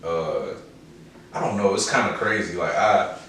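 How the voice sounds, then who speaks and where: A man's voice: sits low, measured pace, fairly bright tone. An American man chatting casually as an interview guest, in slangy speech with frequent swearing. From Chicago, United States. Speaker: interview guest, rapper and producer. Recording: echoey, distant mic, faint noise.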